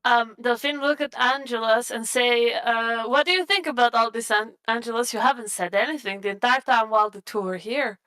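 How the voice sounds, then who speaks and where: Female tabletop player, crisp and forward high voice, casual improvised banter. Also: brisk, few pauses, pushed and bright, low-quality recording. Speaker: player in a tabletop role-playing game.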